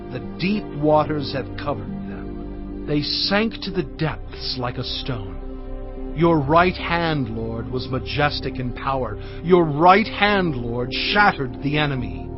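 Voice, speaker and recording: A fervent prophet-leader declaims scripture very slowly in a fairly high male voice. He is reverent and exultant, in a slightly muffled audio drama with music clearly behind him. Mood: awed, triumphant. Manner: slow, fervent, declamatory recitation. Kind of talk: dramatized audio Bible acting. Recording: muffled recording under cinematic music.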